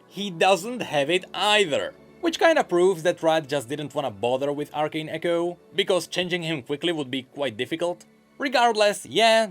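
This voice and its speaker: A man with a slightly high voice and strongly swinging intonation delivers gaming news commentary. He explains his opinions in a measured, scripted way, with music playing behind him. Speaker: gaming news video host.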